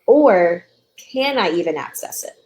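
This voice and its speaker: A woman talking as a counselor in a casual discussion, with a thoughtful, measured manner. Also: lowish voice, animated, darkish tone, rough recording. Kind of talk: casual podcast-style discussion.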